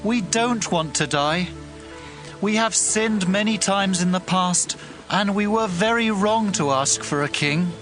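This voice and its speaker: A man playing a frightened, repentant Israelite pleading in a dramatized scripture reading. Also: high voice. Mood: fearful, pleading. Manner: slow, pleading. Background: music.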